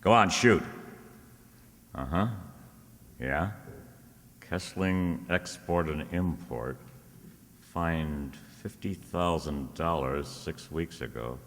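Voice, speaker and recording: Brief: male actor as sardonic, stubborn radio private eye, low and forceful, clipped delivery, recorded in a reverberant hall. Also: takes it slowly, long pauses, heavily acted. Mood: brisk, businesslike. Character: wisecracking, stubborn, suspicious male private detective. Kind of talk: old-time radio detective drama acting. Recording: echoey hall, long reverb.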